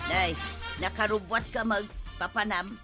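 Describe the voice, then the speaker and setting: An actress playing an exasperated farmer's wife in an Ilocano radio comedy drama, with an Ilocano accent. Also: female voice, medium pitch, fairly even intonation, a little slow, music in the background, natural voice. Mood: nagging.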